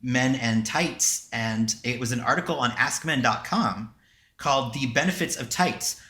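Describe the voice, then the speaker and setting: Brief: male podcast co-host for casual banter, crisp bright tone at average pitch, emphatic, repetitive, happy to swear. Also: measured pace. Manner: emphatic, repeats himself, sweary.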